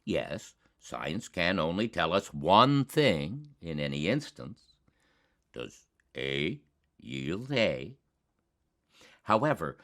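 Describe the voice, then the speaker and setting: Deep-voiced man, slow deliberate monologue. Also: fairly bright tone. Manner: deliberate, formal and bookish, with emphatic pauses. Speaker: presenter of his own program.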